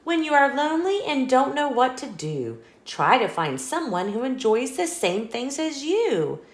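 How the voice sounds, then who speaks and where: A woman reading a children's story aloud, expressively and with deliberate phrasing for young listeners. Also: high-pitched, sing-song, roomy sound. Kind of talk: scripted read-aloud storytelling for kids.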